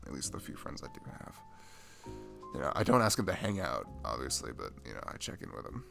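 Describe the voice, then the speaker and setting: A man's voice: a bass voice, wildly swooping pitch, creaky, sharp tone, faint music behind, exaggerated voice. A man playing a dorky, shy, lonely young best friend in an intimate ASMR roleplay, rambling hesitantly and putting himself down. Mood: soft, wistful. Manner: hesitant, self-deprecating, halting with fillers and sighs.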